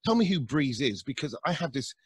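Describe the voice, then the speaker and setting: Husky-voiced male interview host. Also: medium pitch, big pitch swings, a little fast, no echo. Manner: warmly enthusiastic, with stumbles and restarts. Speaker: show host. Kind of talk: interview hosting.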